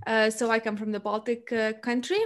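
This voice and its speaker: Hesitant young woman, higher voice. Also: little pitch movement, fairly quick. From Lithuania. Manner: hesitant, many filler sounds. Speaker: podcast host. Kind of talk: casual interview conversation.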